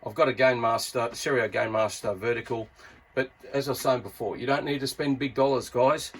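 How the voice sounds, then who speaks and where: An Australian-accented man talks in a medium-pitched voice, like a hobbyist showing off his gear to fellow enthusiasts. His delivery is casual and blokey, full of colloquial turns, and stays laid-back as he reviews the equipment. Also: fairly even intonation, a little fast, slight background noise. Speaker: YouTube radio hobbyist and reviewer. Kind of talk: casual hands-on gear review with CB radio chatter.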